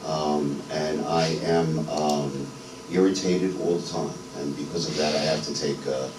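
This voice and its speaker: A middle-aged man from New York giving an unscripted personal testimony as a guest speaker, halting with many ums and restarts. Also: extremely low pitch, fairly even intonation, recorded from a distance, noisy background. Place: church sanctuary. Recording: echoey church hall, voice from afar.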